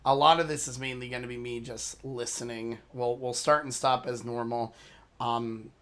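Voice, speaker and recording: A man hosting a reaction channel, talking casually to his viewers with a hoarse voice, rambling and apologizing as he loses his train of thought. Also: mid-range voice, flat delivery, normal speed, a bit bright. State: hoarse from a lost voice.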